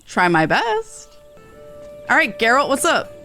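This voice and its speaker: A woman game streamer with a high voice that swings very widely in pitch. She reacts casually and takes her time over her words, with music in the background. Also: huge pitch swings, measured pace, bright, forceful tone.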